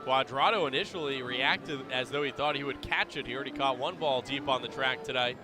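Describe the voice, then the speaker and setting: An American man giving measured, explanatory color analysis during a live baseball broadcast. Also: mid-range voice, over music. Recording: busy ballpark crowd behind the voice. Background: clear crowd noise.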